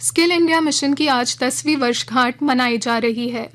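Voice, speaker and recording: A woman reading a regional radio news bulletin in a measured, formal way from a studio. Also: high voice, fairly bright tone. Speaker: news reader.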